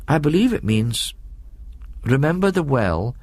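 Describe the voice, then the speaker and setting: A man's voice: mid-range voice, sing-song, unhurried, darkish tone, no room sound, voice pushed up. A man voicing a puzzled, offhand schoolboy in an audiobook, with a British English accent and hesitant, slangy talk. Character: puzzled Highland schoolboy recounting something odd, offhand and hesitant. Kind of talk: audiobook character dialogue.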